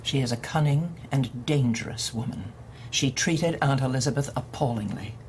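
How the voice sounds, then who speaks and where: A woman's voice: a bass voice, normal speed, a little mellow, a little hiss or hum. A woman playing a stern, coldly sweet matron. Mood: menacing. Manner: controlling, coldly sweet, menacing. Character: stern older woman reeducation aunt. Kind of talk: audio drama acting.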